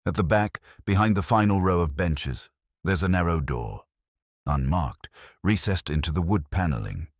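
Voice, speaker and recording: A man as very deep, brooding detective inspector. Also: lively intonation, no echo, clean studio sound. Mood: reflective, grave. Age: middle-aged. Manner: measured, brooding, literary delivery. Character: male weary, reflective, literary British police inspector narrating his case. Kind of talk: scripted first-person detective narration.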